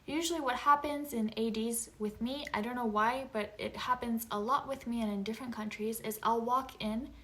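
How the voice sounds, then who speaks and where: A woman's voice: a little high, steady tone, moderate pace, few pauses, a bit bright. A woman from Japan whose first language is Japanese, chatting casually to camera in a vlog. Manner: chatty, conversational, frequent filler words. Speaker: vlogger. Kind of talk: casual unboxing chat.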